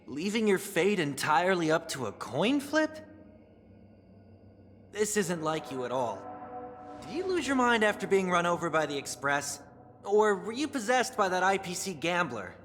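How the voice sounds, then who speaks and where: A man's voice: high-pitched, sing-song, moderate pace, fairly bright tone, big-room sound, slight background noise. An actor playing the teasing, frivolous alter ego of a prim man in a video game, with a taunting, theatrical delivery. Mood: incredulous, mocking. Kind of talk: scripted dramatic game dialogue.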